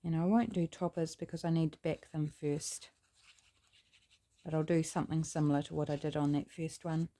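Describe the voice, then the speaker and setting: A woman's voice: low voice, moderate pace. A woman with a New Zealand accent and a scratchy voice, chatting casually while she works on a craft project. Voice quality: gravelly, scratchy. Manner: chatty, relaxed, thinking aloud. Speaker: craft channel host.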